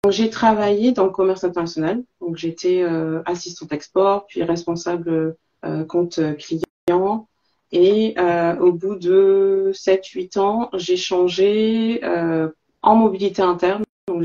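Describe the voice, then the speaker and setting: A woman's voice: lowish voice, steady tone, unhurried, dark tone. A woman in her forties from southern France, chatting as an interview guest over a lo-fi video call. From Marseille, southern France. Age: 40s. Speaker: interview guest, entrepreneur and school coach. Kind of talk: casual interview conversation.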